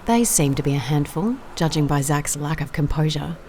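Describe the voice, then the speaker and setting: Low-voiced, wry female private investigator. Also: lively intonation, moderate pace, darkish tone, a little hiss or hum. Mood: wry, observant. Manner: dry, wry, conversational. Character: wry, observant female private investigator narrating her case. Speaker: lead actor in an audio drama. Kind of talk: audio drama case notes and dialogue.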